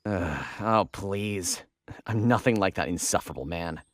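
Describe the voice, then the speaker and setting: A man playing an irritable, uptight squad fighter in an anime audio drama, terse and husky. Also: sits low, unhurried, no room sound. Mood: irritated. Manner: terse, snappish.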